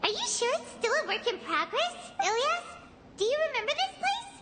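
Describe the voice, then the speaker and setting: Tiny floating fairy sidekick for a game: very high, childlike, bubbly, swooping wildly. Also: female voice, sing-song, fairly quick, over music. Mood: curious, uneasy. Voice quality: squeaky, childlike. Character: small excitable floating fairy companion, childlike girl. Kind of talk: video game voice acting.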